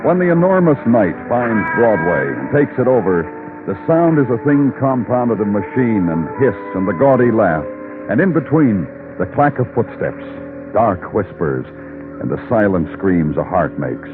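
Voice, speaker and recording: An actor playing a weary, brooding New York police detective in a 1950s radio noir drama, terse in questions and moodily poetic in narration. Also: male voice, fairly low pitch, sing-song, normal speed. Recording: muffled old radio broadcast, music behind.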